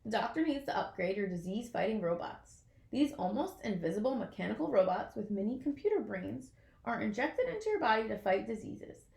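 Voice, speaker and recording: Creaky-voiced woman reading a children's storybook. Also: mid-range voice, brisk, reverberant. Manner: expressive, addressing young listeners. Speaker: storybook reader. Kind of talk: children's picture book read-aloud.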